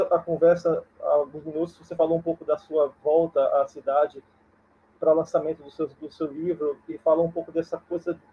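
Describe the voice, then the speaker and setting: Husky higher-voiced man moderating. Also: lo-fi sound. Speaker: moderator, film curator and journalist. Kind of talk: online panel moderation.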